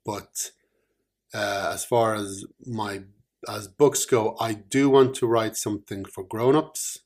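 A man co-hosting a podcast, talking casually in a dry, teasing way with many pauses and ums. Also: lowish voice, fairly even intonation, a little mellow. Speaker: podcast co-host, author. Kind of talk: casual chat.

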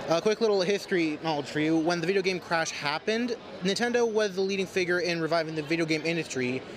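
A man's voice: fairly high pitch, fast talker. A man hosting an interview at an event, upbeat and chatty. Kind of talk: convention interview. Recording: crowd noise behind.

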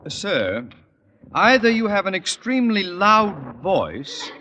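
A man's voice: high voice, big pitch swings, takes it slowly, bright, forceful tone, heavily acted. A man acting a blustering, indignant middle-aged father with a sputtering manner in an old radio sitcom. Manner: sputtering, incredulous. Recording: very noisy old radio recording.

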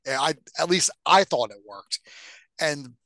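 A man's voice: fairly high pitch, expressive, measured pace, gravelly, bright, forceful tone, no echo. A man co-hosting a podcast in casual, opinionated chat about entertainment, blunt and given to casual swearing. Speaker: podcast co-host.

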